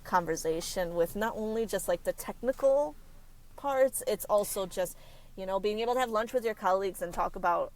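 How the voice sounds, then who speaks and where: A woman's voice: medium pitch, a little mellow, poor audio. A woman career consultant talking in a casual podcast interview. She stops and corrects herself often as she speaks. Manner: halting, frequent self-corrections.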